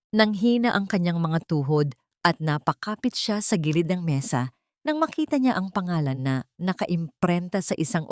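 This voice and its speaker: A woman audiobook narrator with a distinctly low voice. She reads a scripted, dramatic story. The recording is dry, with hardly any room sound. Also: darkish tone, no room sound.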